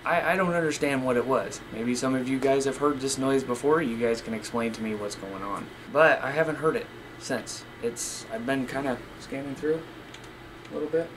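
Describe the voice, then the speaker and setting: A man's voice: medium pitch, moderate pace. Guy vlogging over background noise. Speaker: CB radio hobbyist vlogger. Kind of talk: casual vlog talk to viewers.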